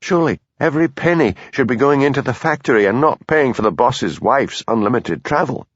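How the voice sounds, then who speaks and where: A man voicing a nervous, earnest police constable with a Highland Scottish accent, in a dull lo-fi recording. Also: animated, brisk, no echo, natural voice. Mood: pleading. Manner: earnest, pleading.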